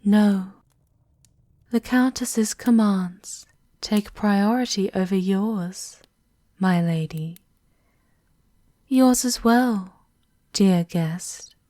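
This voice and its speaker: A woman playing a loyal, coldly menacing castle maidservant in a fantasy ASMR roleplay, speaking formally with hushed, deferential politeness. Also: mid-range voice, very slow, long pauses, no room sound, studio quality. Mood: coolly firm. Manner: formal, deferential, veiled threats, often breathy whispering.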